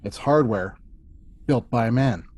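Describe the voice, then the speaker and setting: A man reading the part of a stern first officer acting as prosecutor, deliberate and formal, in a sci-fi table read. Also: medium pitch, darkish tone, breathy edge, no room sound. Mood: grave, forceful. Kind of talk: amateur table read of a sci-fi courtroom script.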